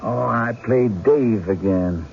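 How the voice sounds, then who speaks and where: An actor playing an earnest, hard-up gambler with a plain folksy way of talking in an old-time radio drama. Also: male voice, on the low side, takes it slowly, creaky, darkish tone. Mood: pleading, defensive. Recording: dull lo-fi radio recording with music.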